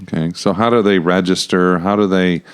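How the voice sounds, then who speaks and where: Male podcast host, fairly deep and clean-toned, informal interviewing. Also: fairly even intonation, a little fast, clear, resonant tone. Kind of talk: casual interview chat.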